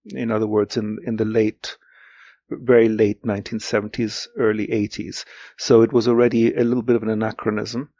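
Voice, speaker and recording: Man in his sixties, native German speaker, creaky voice, dry close sound, hesitant reflective interview talk, emphatic repetitions. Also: on the low side, level tone, warm tone, no room sound. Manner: hesitant, halting, repeats words for emphasis. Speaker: guest, literary translator.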